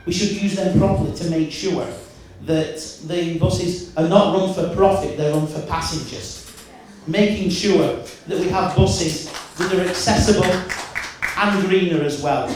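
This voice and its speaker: A man with a strikingly high, carrying voice delivers a forceful campaign speech. He is picked up from well away from the microphone. His words bounce around a very echoey room with faint noise behind. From Wigan, England. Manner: loud, emphatic speaking voice with repeated restarts. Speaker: mayoral candidate. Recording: echoey hall, mic from far off.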